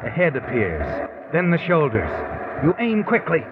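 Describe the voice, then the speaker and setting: An American actor as an ominous, knowing narrator of 1950s radio suspense, deliberate and taunting, speaking directly to the killer. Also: male voice, a little high, wildly swooping pitch, very noisy. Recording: tinny old radio recording with music.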